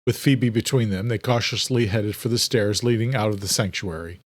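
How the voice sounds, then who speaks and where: A man's voice: on the low side, moderate pace, no room sound. Male horror audiobook narrator, dry sound. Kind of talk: dramatic scripted horror narration.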